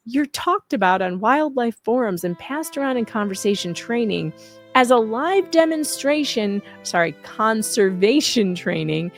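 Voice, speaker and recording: Sarcastic woman reading over music. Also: medium pitch. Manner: mocking, theatrical sarcasm; often laughs while reading. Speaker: host reading an open letter. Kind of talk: sarcastic scripted commentary read aloud.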